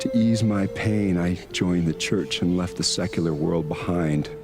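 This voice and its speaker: A man's voice: low voice, a little slow. An actor playing an earnest, emotional male bishop in a video game cutscene, with music behind him. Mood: wistful. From Ireland.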